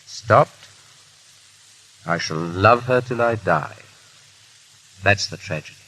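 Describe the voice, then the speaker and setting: Low-voiced English gentleman hero. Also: takes it slowly, poor audio. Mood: grave, sorrowful. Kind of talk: 1930s radio acting. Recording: hissy 1930s radio broadcast.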